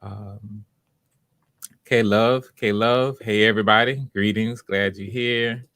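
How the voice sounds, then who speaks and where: Male livestream host, lower-pitched voice, close dry sound; casual, welcoming, encouraging, speaks off the cuff with halting phrasing. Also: fairly even intonation, fairly bright tone, no echo. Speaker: host of live online voice auditions, writer. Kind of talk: casual livestream chat, greeting viewers by name.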